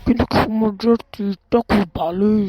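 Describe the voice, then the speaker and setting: A woman's voice as a bossy cartoon boss and parent with a mid-range feminine pitch. The delivery grumbles and scolds in the manner of a homemade cartoon dub. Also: steady tone, moderate pace, warm tone, no room sound, natural voice. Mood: content.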